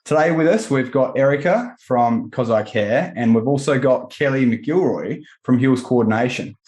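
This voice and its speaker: A man's voice: mid-range voice, moderate pace, a little mellow. A man speaks as a podcast host in a mid-range voice. He moves between prepared introductions and conversational hosting. The recording is roomy and echoing. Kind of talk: scripted intro and roundtable hosting.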